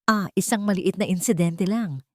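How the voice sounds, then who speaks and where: A woman's voice: medium pitch, normal speed, dry recording, natural voice. A narrator plays a scheming grown-up sister from a storybook. She sounds falsely sweet and nostalgic, full of insinuation, in a dry, close recording. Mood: feigned concern. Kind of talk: audio storybook character dialogue.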